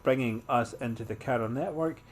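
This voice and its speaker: A man's voice: mid-range voice, a little fast. A man hosting a gameplay walkthrough, commenting and reading aloud in an informal way.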